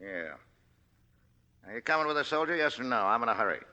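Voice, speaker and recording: An American actor playing a swaggering, impatient middle-aged gangster boss, domineering and barking, in 1940s radio drama style. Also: male voice, medium pitch, expressive, fast, bright, forceful tone. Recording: dull, hissy 1940s radio recording.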